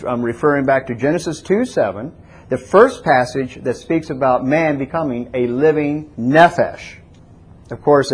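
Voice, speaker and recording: Husky-voiced man preaching to a congregation. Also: fairly high pitch, a little mellow. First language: not Hebrew. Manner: teaching, asks congregation questions. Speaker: pastor. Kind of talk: sermon. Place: church.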